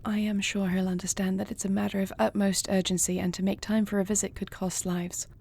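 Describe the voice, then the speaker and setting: A poised dragon queen voiced by a woman speaks softly and intimately to her listener in a fantasy audio roleplay. Her voice is warm and dark, with a breathy husk and touches of creak. She phrases things formally, with tenderness and dry wit. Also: medium pitch, level tone, fairly quick. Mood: tender, reassuring. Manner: formal, courtly phrasing, soft and affectionate. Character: gentle, poised dragon queen, newlywed, tender and dryly witty.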